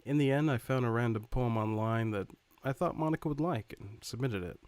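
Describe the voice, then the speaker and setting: A man playing an earnest, worried high school student who narrates a visual novel in the first person. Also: a little fast, a little mellow, no room sound, close to an everyday voice. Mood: matter-of-fact.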